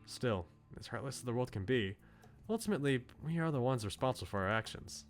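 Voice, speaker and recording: A man's voice: mid-range voice, animated, fast, natural voice. A man reading aloud a visual novel's bookish male student, articulate, philosophical and politely opinionated. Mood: earnest, critical. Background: music.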